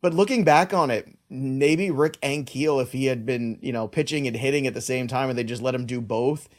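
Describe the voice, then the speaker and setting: A man's voice: mid-range voice, normal speed. A man hosting a sports podcast, talking in a casual, reflective way with lots of filler words. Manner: reflective, rambling with many "you know" fillers. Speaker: podcast host.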